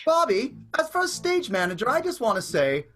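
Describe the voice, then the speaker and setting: A woman's voice: a little high, big pitch swings, brisk, a bit bright, soft background music. A woman playing a childlike, eager schemer, hesitant and gushing, in an improvised comic radio play. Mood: nervous flattery. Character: childlike, eager, cookie-loving schemer named Jack.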